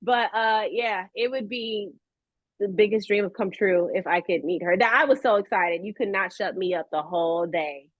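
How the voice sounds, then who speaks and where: A woman's voice: medium pitch, moderate pace, dry recording. Woman chatting casually, slightly dull dry audio. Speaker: voice actress, interview guest. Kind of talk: casual interview chat.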